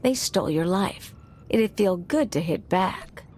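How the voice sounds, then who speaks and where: A woman's voice: fairly low pitch, animated, measured pace, a little mellow, a little hiss or hum. A woman playing a brash, sardonic mercenary in a video game cutscene, slangy and casual about violence. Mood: sly, goading.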